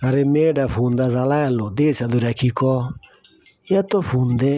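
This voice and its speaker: A man's voice: medium pitch, steady tone, brisk, dark tone, close to an everyday voice. A man playing an evasive young village lover in a cartoon, coaxing and placating. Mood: annoyed. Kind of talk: cartoon voice acting. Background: music.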